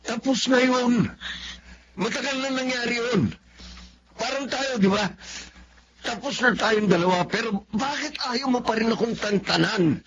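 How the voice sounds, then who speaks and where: A heartless, defiant Filipino husband in a radio drama, with a high voice. He brushes everything off irritably. Muffled audio with a clear crowd of voices behind. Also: measured pace. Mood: dismissive. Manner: dismissive, defiant. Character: callous, irritated adult husband and former lover. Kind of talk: Tagalog radio drama acting.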